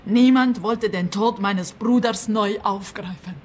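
A woman's voice: mid-range voice, measured pace, lightly acted. An actress playing an embittered, distraught woman who dramatically airs her grievances in a radio play. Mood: bitter. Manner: dramatic, aggrieved. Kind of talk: comic radio play acting.

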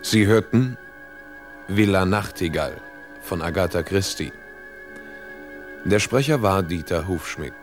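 Male radio announcer, very deep, slow formal credits, faint music behind. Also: lively intonation.

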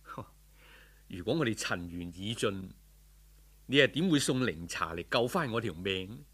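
A man playing a hushed, lovesick Cantonese young scholar. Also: fairly low pitch, lively intonation, unhurried, breathy edge, voice pushed down, heavily acted. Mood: yearning. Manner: sighing, courteous, plaintive. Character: lovesick young male scholar, humble and yearning. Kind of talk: audiobook folk tale character.

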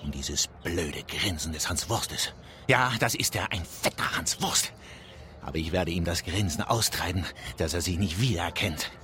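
An actor playing a pompous, boastful brewery owner and vengeful betrayed husband in a theatrical radio play. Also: male voice, low-pitched, moderate pace, sharp tone. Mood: bitter, plotting. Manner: theatrical, self-important, gloating. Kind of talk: theatrical radio drama monologue.

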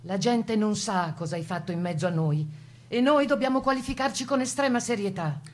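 A woman's voice: mid-range voice, brisk, close to an everyday voice. An actress voicing a resolute woman who fought as a partisan, giving earnest first-person testimony in a radio play. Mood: indignant.

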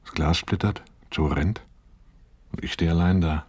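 A man's voice: deep voice, a little slow, darkish tone, breathy edge, no room sound. An actor playing a troubled, dutiful working man in a radio play, recounting his life to his therapist in a matter-of-fact, reflective way. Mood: tense recollection. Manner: matter-of-fact, reflective, acting out remembered dialogue. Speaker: protagonist and first-person narrator of a radio play. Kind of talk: 1980s German radio play acting, confessional monologue.

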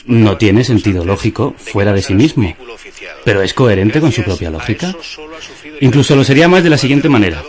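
An actor playing a deadpan, pedantic philosopher of the absurd in a radio theatre dialogue. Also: male voice, lowish voice, fairly quick, natural voice. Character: deadpan absurd philosopher musing over news headlines.

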